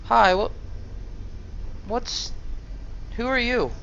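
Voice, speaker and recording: A man's voice: very high voice, extremely slow, gravelly, pushed and bright, slight background noise, pitched above the performer's own voice, heavily acted. Guy doing eager young girl mage for a game roleplay, questioning, muffled recording. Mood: curious, tentative. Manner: tentative, questioning. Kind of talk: amateur game role-play.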